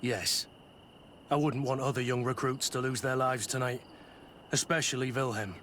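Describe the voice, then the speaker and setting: A man's voice: medium pitch, steady tone, normal speed, fairly bright tone, slight background noise, close to an everyday voice. A man playing a stern, honourable mercenary soldier in a video game cutscene, terse and blunt. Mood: resolute.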